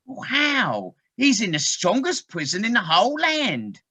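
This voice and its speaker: A woman playing a fretful, dutiful male water rat with earnest, gentlemanly manners in an amateur audio play. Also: medium pitch, huge pitch swings, measured pace, husky, no echo, heavily acted. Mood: worried.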